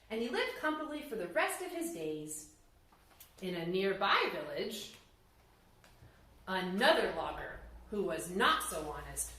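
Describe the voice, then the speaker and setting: A woman narrating a storybook tale as a children's story time host, very expressive, with playful asides to her listeners. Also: medium pitch. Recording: very echoey room sound.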